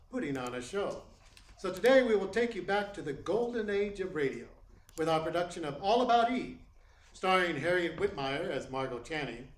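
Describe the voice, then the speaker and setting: A man playing a formal golden-age radio announcer, presenting a play in old-time style. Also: sits high. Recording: echoey room.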